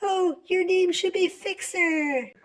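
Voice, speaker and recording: Storybook: reader doing a stubborn young girl inventor, very high and breathy, exasperated. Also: slow, fairly bright tone, full character voice.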